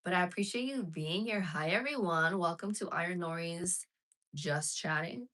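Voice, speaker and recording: A woman's voice: on the low side, normal speed, fairly bright tone. A woman live streaming casually to her viewers, chatting frankly and reading out chat messages. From United States.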